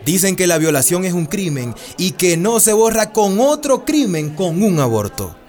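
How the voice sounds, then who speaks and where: Caring family doctor, fairly high male voice, frank, explanatory, radio drama, light music behind. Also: big pitch swings, moderate pace, a little mellow. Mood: firm, explanatory. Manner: earnest, explanatory.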